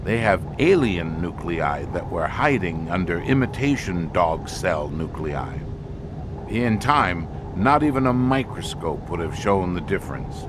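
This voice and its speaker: Grave male scientist played by a man, audio drama. Lowish, very varied intonation, deliberate halting pauses, clear background noise. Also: big pitch swings. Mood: grave, explaining. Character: grave male expedition scientist explaining an alien menace.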